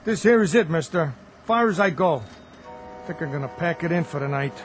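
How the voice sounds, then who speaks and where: An actor plays a weary working-class American cabbie in a radio drama. The voice sits high for a man, blunt and impatient, over a light bed of music. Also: lively intonation, moderate pace, close to an everyday voice. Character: brusque, weary working-class male cab driver.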